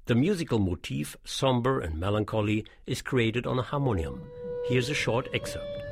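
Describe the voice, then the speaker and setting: A man's voice: medium pitch, huge pitch swings, normal speed, creaky. A man narrating an audio tour in a scripted, explanatory style.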